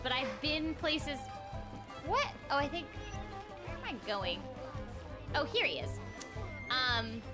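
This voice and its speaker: A young woman chatting casually and playfully as she streams a video game. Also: high voice. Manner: playful, animated. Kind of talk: casual live stream chat while playing. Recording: game music behind.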